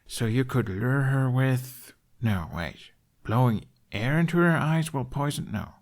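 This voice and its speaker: A man's voice: mid-range voice, animated, measured pace, pitched above the performer's own voice. A man voicing an eager, curious boy who rambles awkwardly and hesitantly in an audiobook. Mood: rambling, puzzled.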